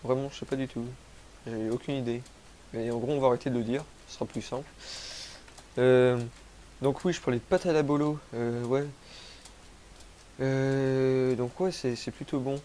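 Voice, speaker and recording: A man's voice: medium pitch, steady tone, moderate pace, long pauses, mellow, a little hiss or hum. A young man from France with a cold, rambling through gameplay commentary, self-mocking and using crude slang. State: has a cold, sniffly. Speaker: gaming youtuber. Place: home, rural.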